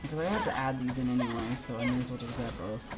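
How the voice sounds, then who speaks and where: A woman's voice: rumbling low, racing. A woman game streamer giving casual, irreverent, self-mocking live commentary with some swearing. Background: faint game music.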